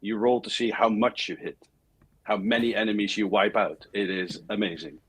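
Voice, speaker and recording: A man with a husky voice, a podcast guest talking in a casual, enthusiastic way. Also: lowish voice, steady tone, normal speed, fairly bright tone, low-quality recording.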